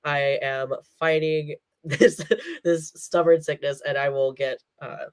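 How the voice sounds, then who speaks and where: A man's voice: on the high side, level tone, takes it slowly, clean tone. A man hosting a sports talk show, congested from a cold, chatting in a rambling way and laughing between phrases. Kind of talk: casual solo sports rundown.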